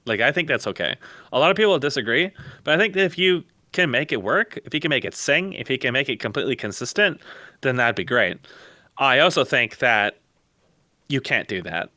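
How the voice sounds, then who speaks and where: A man's voice: mid-range voice, animated, a little fast, bright, forceful tone, dry recording, studio quality. A man in a casual opinionated discussion on an online voice call, rambling, blunt and emphatic. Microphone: close mic on an online voice call.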